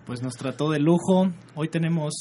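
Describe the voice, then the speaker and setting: A man from the State of Mexico, a musician guest speaking in a relaxed, reflective way. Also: fairly high pitch, normal speed. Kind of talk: radio interview.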